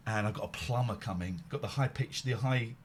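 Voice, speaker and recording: A man's voice: on the low side, a little fast, a little hiss or hum. A man hosting a late-night call-in show, chatting casually and rambling between jokes. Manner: chatty, rambling, jokey, self-deprecating. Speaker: radio show host.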